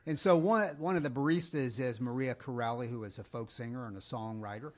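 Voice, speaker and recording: A man's voice: medium pitch, a little fast. A man co-hosting a podcast in casual, unscripted chat, with a chatty storytelling manner. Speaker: podcast co-host.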